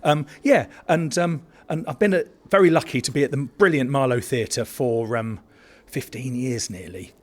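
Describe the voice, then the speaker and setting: A man's voice: mid-range voice, moderate pace, a little mellow. A man chatting casually in an interview, self-effacing and a little hesitant. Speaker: pantomime dame actor, interview guest. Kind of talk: casual backstage interview chat.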